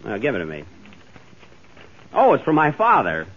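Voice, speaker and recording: A man hosting a 1930s radio comedy show, with exasperated, deadpan reactions. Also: mid-range voice, normal speed, breathy edge. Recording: dull 1930s radio broadcast with studio audience.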